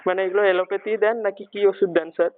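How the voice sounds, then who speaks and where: A high-voiced man speaks over a thin, muffled phone line. He hesitates and rambles pleadingly, playing the worried parent in a prank call. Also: fairly even intonation, racing, slight background noise. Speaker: prank caller.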